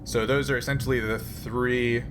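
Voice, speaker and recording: A man explaining options as game master of a tabletop role-playing game, in a halting way. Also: mid-range voice, fairly even intonation, over music. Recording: noisy recording with room ambience.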